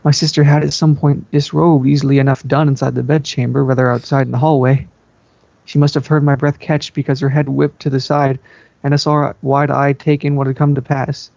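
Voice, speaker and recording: A man's voice: mid-range voice, level tone, fairly quick, gravelly, darkish tone. A man reading a story aloud as an amateur dramatic narrator. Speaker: fan fiction reader. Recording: dull, roomy amateur recording.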